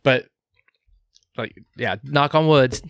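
A man co-hosting a casual podcast, talking in halting stops and starts with lots of fillers. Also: mid-range voice, creaky, no echo, studio quality. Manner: halting, many fillers and restarts. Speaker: podcast co-host.

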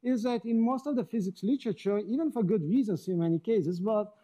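A man's voice: high-pitched, a little fast, warm tone. A middle-aged man from Switzerland, giving an academic seminar talk in a lecture hall, wry and self-deprecating, often rephrasing mid-sentence.

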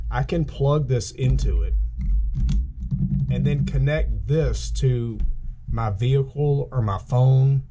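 Male gear reviewer, relaxed mellow tone, casual plain-spoken demo, thinking aloud, audible noise behind the voice. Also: medium pitch, lo-fi sound. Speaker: gear reviewer, video host. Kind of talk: casual unscripted product demonstration. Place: small room indoors at a tabletop. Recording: clearly audible background noise.

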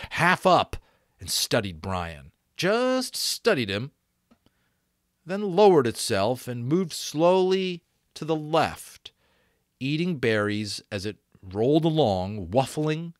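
A man in his thirties reading a novel aloud as dramatic narration, with deliberate pauses and stressed repeats, close to a microphone. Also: mid-range voice, a bit bright, no echo. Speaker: audiobook reader. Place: small room at home. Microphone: desk microphone close in front.

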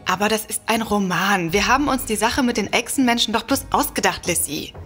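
A woman's voice: medium pitch, fairly quick, fairly bright tone, faint music behind, lightly acted. A woman playing a defiant, wry novelist sister in an audio drama. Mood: reassuring. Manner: confident, wry. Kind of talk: German audio drama acting.

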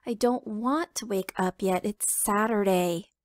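A woman's voice: mid-range voice, measured pace, dry recording, clean studio sound, close to an everyday voice. A woman voicing a sleepy, patient father chicken in a picture book read aloud, groggy and weary.

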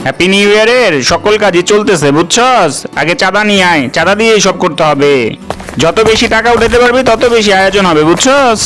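A man performs a cartoon gangster boss with a high voice. He blusters and threatens like a hot-tempered extortionist, with clearly audible music running behind him. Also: expressive, a little fast, low-quality recording. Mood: bossy. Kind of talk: cartoon voice acting in colloquial Bangla.

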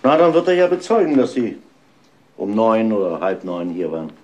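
An actor playing a persistent, shrewd male police inspector in a German crime radio drama, probing with dry, deliberate insinuation. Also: lowish voice, big pitch swings, a little mellow, lo-fi sound. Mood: dry, insinuating.

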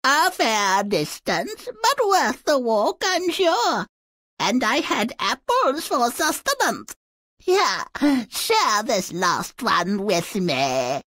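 A wicked old peddler crone from a storybook game. She is highish and very slow, wheedling with huge theatrical swoops. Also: big pitch swings, fairly bright tone, dry recording, full character voice. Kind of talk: exaggerated fairy-tale game acting.

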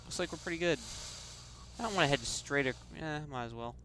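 A man's voice: on the high side, animated, fairly quick, noisy background. Chatty man narrating his game play. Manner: chatty, self-deprecating, thinking aloud. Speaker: let's play gamer. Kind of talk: casual game commentary. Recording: loud game music behind. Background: loud game music.

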